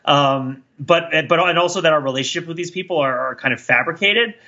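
Bright, higher-pitched man thinking aloud. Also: fairly quick, muffled recording. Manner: discursive, hedging, thinking aloud. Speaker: podcast co-host. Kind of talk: casual analytical pop-culture discussion.

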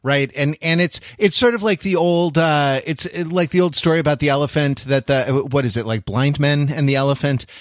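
A man's voice: on the high side, fairly quick, hardly any pauses, dry recording, studio quality. An American man co-hosting a podcast, telling stories casually in a rambling, wry, erudite manner. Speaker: podcast co-host. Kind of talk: casual conversational anecdote telling.

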